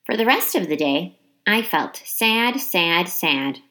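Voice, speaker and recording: A woman reading a children's chapter book aloud as expressive storybook narration, with dramatic emphasis on key words. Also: on the low side, takes it slowly.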